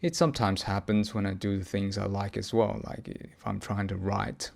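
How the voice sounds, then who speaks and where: A man recording a reflective personal audio log, speaking haltingly as he searches for words and often pauses mid-thought. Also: fairly low pitch, level tone, vocal fry, a little mellow. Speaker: podcast host.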